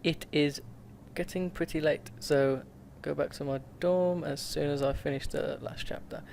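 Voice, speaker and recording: A man's voice: a little high, steady tone, moderate pace, creaky, a little mellow, slight background noise. A man hosting a let's play, reading a visual novel aloud with stumbles, self-corrections and laughs.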